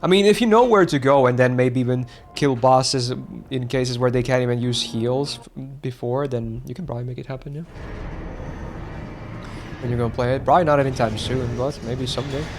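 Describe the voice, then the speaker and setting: A man's voice: medium pitch, brisk. Male streamer, casual commentary, swears, reads chat, faint game music.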